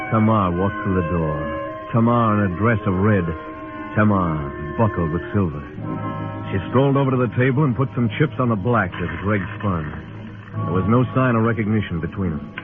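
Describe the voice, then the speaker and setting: An actor playing a tough, laconic frontier marshal in a radio western, speaking and narrating in a terse, deadpan way. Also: male voice, fairly low pitch, close to an everyday voice. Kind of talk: old-time radio western acting and narration. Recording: tinny old radio recording with music.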